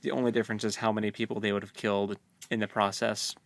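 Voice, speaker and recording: A man's voice: lowish voice, level tone, fast talker, no room sound. A man co-hosting a book club, retelling and discussing a story in casual, informal language.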